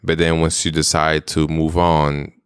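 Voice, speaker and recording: A man who was raised in Israel, co-hosting a podcast in relaxed, rambling, casual chat with some swearing. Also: very deep voice, a little slow, clean tone, dry recording, clean studio sound. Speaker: podcast co-host.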